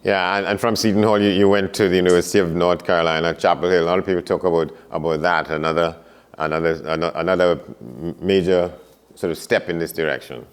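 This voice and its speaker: A man's voice: low voice, steady tone, fast, clean tone. A man hosting an interview, asking long questions with many stammered repetitions. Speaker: interview host.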